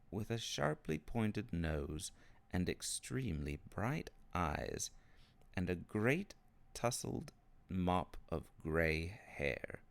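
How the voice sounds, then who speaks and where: A man reading children's fiction aloud as an expressive scripted narration. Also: deep voice, vocal fry. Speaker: storybook reader.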